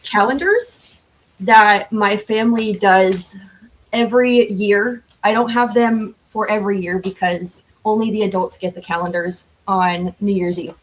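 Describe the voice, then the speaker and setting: A woman from the United States speaks at a medium pitch as a podcast guest. Her talk is casual and rambling, and she often corrects herself mid-thought. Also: a little slow, low-quality recording. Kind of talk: casual chat.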